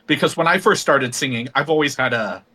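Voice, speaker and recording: Bright-voiced man, thoughtful and rambling. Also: mid-range voice, fairly quick, breathy edge. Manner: thoughtful, rambling with many ums. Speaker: guest singer. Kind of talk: casual interview conversation.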